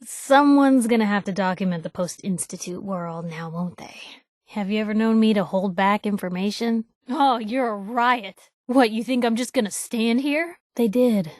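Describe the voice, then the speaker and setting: An actress playing a spirited young woman reporter from a wasteland video game. She is sardonic and chatty, with expressive, wry lines at a middling female pitch. The sound is dry and close, with no room to it. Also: normal speed, dry recording, close to an everyday voice. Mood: earnest, wry. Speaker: video game companion character. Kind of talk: acted game dialogue lines.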